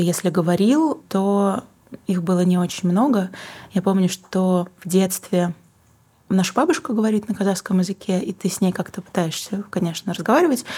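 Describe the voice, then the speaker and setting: Low, husky-voiced young woman, reflective. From Kazakhstan. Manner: thoughtful, searching for words, soft asides. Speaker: guest, singer and book publisher. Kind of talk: reflective interview answers.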